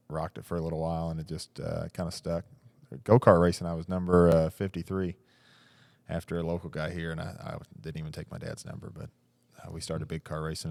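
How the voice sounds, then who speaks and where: A man's voice: sits low, level tone, fairly quick, gravelly, darkish tone, dry recording, clean studio sound. A young man, a race car driver, answering interview questions in a laid-back, hesitant way with many fillers.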